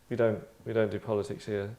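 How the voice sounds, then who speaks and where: A man hosting an online table read in casual, wry chat, with a deadpan, mock-formal manner. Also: fairly low pitch. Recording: roomy echo.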